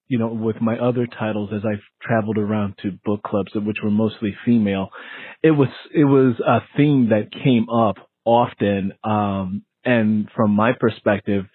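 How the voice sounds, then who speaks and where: A man's voice: on the low side, fairly even intonation, normal speed, slightly rough, dry recording, phone-quality sound. A man hosting a casual book interview as a fellow author, speaking in an informal, colloquial way.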